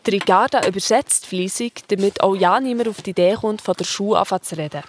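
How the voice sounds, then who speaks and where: Swiss German female storyteller, medium pitch. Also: fast talker, a little hiss or hum. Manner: colloquial, present-tense storytelling. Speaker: narrator. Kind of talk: scripted children's story narration.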